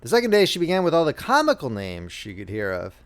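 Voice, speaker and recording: A man narrating as an audiobook reader, telling a story in an expressive, measured, scripted style. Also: on the high side.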